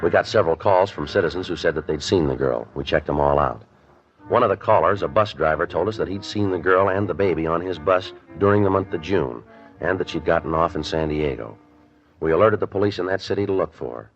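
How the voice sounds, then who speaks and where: A man's voice: deep voice, brisk, faint music behind. A man playing a matter-of-fact police detective who narrates the case in clipped, businesslike sentences, in a 1950s radio drama. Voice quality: clipped, flat, businesslike delivery. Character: terse, matter-of-fact male police sergeant. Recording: dull, lo-fi 1950s radio recording.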